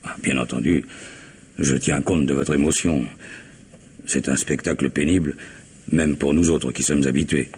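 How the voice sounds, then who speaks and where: A stern radio-drama commissioner, cavernous low voice, husky, measured and insistent. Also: male voice, steady tone, a little mellow, heavily acted. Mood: probing. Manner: formal, measured, insistent questioning. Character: stern probing male police commissioner. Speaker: police commissioner. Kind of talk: 1960s French radio drama acting.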